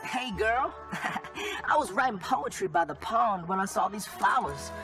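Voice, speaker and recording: High-voiced, smooth heartthrob pony. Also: male voice, very animated, measured pace. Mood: flirtatious. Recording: cartoon clip with loud music. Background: music.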